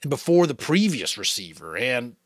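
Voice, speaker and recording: A man voicing an old male keeper of memories, weary and wise, speaking in a measured, rueful way. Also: mid-range voice, very animated, dry recording, clean studio sound. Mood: resigned.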